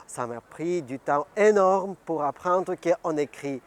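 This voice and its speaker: Bulgarian-accented man, earnest interviewee, somewhat high voice. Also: slow pace. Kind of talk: documentary interview.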